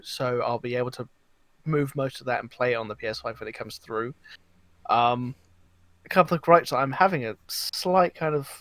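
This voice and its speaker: A man's voice: medium pitch, dry recording. A man chatting casually as a podcast guest, rambling a little and feeling for his words. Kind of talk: casual chat.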